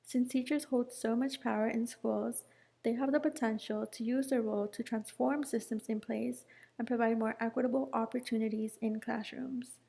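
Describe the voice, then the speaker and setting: A woman's voice: on the high side, fairly even intonation, moderate pace, creaky, mellow, long reverb tail. A young woman from Mexico who grew up partly in the United States, reading a scripted personal statement as a student. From Mexico, emigrated to the United States young. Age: young adult. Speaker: student speaker.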